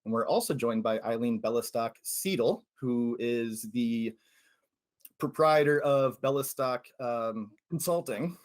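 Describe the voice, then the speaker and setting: Man, podcast host, mid-pitched voice, halting delivery, stumbles on names. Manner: hesitant, stumbles over names. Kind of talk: casual interview hosting.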